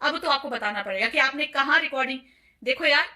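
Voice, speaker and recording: A woman's voice: high voice, quick pace, sharp tone. A woman vlogger giving heated commentary to viewers, accusatory and insistent as she addresses them directly. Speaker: vlogger presenting call recordings.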